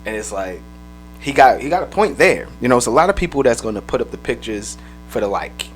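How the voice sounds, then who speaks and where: A man co-hosting a podcast, telling loose, profane stories in casual chat, his voice a little raspy. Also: medium pitch, very animated, faint music behind, poor audio. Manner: fast, loose, profane storytelling. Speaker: podcast co-host.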